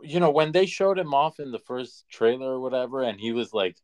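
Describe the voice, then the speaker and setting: A man co-hosting a podcast in casual conversation, opinionated and halting as he restarts his points. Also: mid-range voice, animated, darkish tone. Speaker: podcast co-host. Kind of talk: casual chat.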